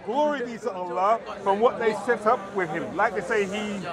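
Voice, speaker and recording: A man's voice: high-pitched, normal speed, heavy background noise. A middle-aged man debating outdoors in a city park, reciting verses and insistently checking in with "yeah?". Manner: insistent, keeps asking "yeah?". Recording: crowd murmur behind.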